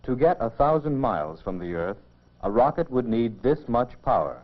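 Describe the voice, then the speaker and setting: A man giving measured, explanatory scripted narration for a mid-century educational film, on a dull old film soundtrack. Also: mid-range voice, very animated.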